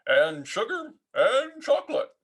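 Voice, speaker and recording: Man playing an animated father frog giving instructions. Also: very high-pitched, measured pace, slightly rough, voice pushed up, heavily acted. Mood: helpful, instructing. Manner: calling out instructions. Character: helpful, instructing father frog, adult male.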